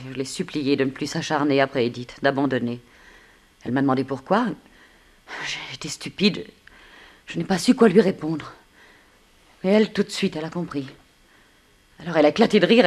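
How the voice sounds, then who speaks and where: A seductive, low-voiced lady thief. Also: brisk, pauses often, a little mellow. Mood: earnest. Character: seductive, teasing blonde woman thief, coolly persuasive. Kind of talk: early 1960s French radio play acting.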